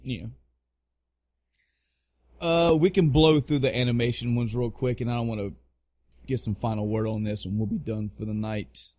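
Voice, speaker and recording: A man's voice: medium pitch, steady tone, normal speed, pauses often, a little mellow, no room sound. Blunt, joking man on a dry mic. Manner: blunt, joking, swears freely; repeats phrases for emphasis. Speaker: podcast co-host. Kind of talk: casual opinionated podcast chat.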